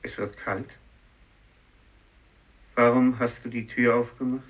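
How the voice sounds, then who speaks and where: A man's voice: mid-range voice, steady tone, takes it slowly, lightly acted. An actor playing a sick, rejected fisherman in a German radio drama, speaking plainly and subdued in short sentences. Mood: anxious, hurt. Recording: dull radio drama recording.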